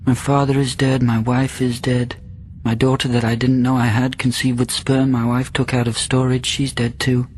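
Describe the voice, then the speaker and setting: A man's voice: medium pitch, level tone, normal speed, few pauses, a little mellow, slight background noise, lightly acted. An actor playing a bitter male astronaut woken from a long coma, sardonic and insistent in a radio drama. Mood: bitter, demanding. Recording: music behind the voice.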